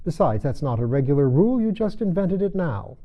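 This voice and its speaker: A bold young storybook girl voiced by a man, argumentative and matter-of-fact. The pitch is raised and lively, with a slightly dull sound to the recording. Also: brisk. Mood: defiant.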